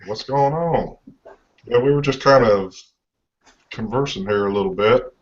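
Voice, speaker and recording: A man hosting a casual live show, bantering in a relaxed way with guests and laughing often. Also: medium pitch, measured pace, dull audio, noisy background. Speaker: show host.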